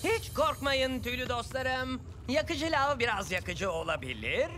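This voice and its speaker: A man's voice: very high voice, animated, unhurried, sharp tone, faint music behind, heavily acted. A man voicing a jolly, showy purple cat in a dubbed cartoon, bouncy and prone to clowning. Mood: playful.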